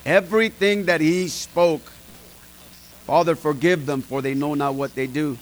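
A middle-aged man is preaching to a small group. His voice sits a little high, and he talks to the people in front of him in a folksy, emphatic way. Faint room hiss runs under his voice. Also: fairly even intonation, a little slow. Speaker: pastor. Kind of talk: informal sermon to a small group.

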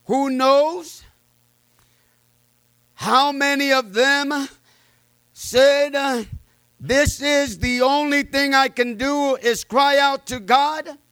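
A man preaching a sermon with personal testimony, loud and fervent, peppering his words with amens and uh's. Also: very high-pitched, fairly even intonation, drawn-out words, pauses often, no room sound.

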